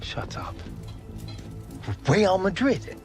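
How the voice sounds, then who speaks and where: A young British man playing an earnest, excitable eighteen-year-old footballer in a video game cutscene. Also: high-pitched, extremely slow, heavily acted. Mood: excited disbelief. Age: young adult. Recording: music behind the voice.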